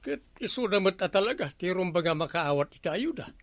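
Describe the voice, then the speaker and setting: A harried village captain in a radio comedy drama, grumbling and exclaiming in an Ilocano accent. The sound is dry, with no room around the voice. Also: male voice, high voice, animated, a little fast, no echo. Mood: indignant.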